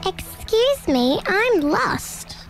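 A woman's voice: very high-pitched, very animated, drawn-out words, creaky, soft background music, pitched above the performer's own voice, heavily acted. A woman voicing a tiny, timid, lost young furry creature in a children's story, speaking tentatively. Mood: timid, plaintive. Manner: tentative, hesitant.

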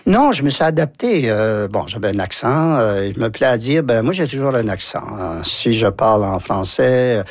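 An older man, his voice slightly husky at a middle pitch. He tells anecdotes at an easy interview pace, acting out the voices he quotes. The recording is close and dry. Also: sing-song, moderate pace, hardly any pauses, no echo. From Acadia, New Brunswick. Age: 60s. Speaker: interview guest. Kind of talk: relaxed storytelling interview.

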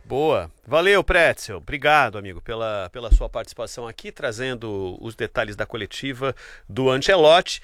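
A man's voice: mid-range voice, expressive, normal speed, a bit bright, no room sound, studio quality. A man with a Brazilian accent hosting a casual sports chat on the radio. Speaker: radio sports show host.

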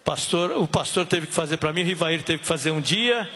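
A middle-aged man, a pastor, leading a church service with fervent, repetitive prayer and call-and-response, his voice hoarse. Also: on the high side, lively intonation, brisk, bright, forceful tone. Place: church hall.

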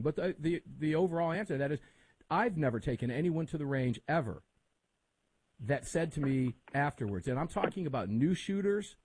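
American guy hosting talk radio, mellow n kinda husky, chatty with listeners. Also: medium pitch, no room sound, poor audio. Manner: conversational, addresses audience directly. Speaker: radio show host. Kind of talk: casual live talk radio.

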